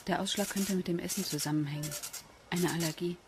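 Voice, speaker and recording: An actress playing a prim, assertive pregnant wife in a radio drama. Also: female voice, on the low side, steady tone, fast talker, lightly acted. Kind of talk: German radio drama acting. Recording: clear background noise and ambience.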